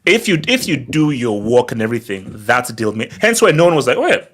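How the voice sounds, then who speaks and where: A man's voice: mid-range voice, sing-song, fairly quick, a bit bright. A man hosting a casual football discussion, bantering and often repeating words, with some stuttering. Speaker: host of a football discussion show. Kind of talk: casual animated group banter.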